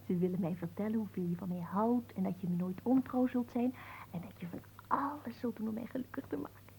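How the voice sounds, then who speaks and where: An actress playing a young peasant sweetheart in a radio play, theatrical and emotional, naive, doting and quick to jealousy. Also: female voice, mid-range voice, creaky, darkish tone, a little hiss or hum, lightly acted, rough recording. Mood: fond, naive.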